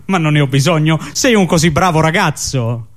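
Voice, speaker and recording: A bored otherworldly being played by a man in an absurd radio sketch. The voice is fairly high yet understated, answering with deadpan, pedantic indifference. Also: moderate pace, lightly acted. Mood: blasé. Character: bored, blasé otherworldly visitor: alien, devil, imaginary friend.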